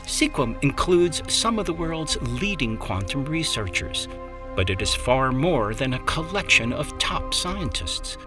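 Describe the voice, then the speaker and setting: A narrator reading a scripted documentary in a measured, expressive delivery. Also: mid-range voice. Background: music bed.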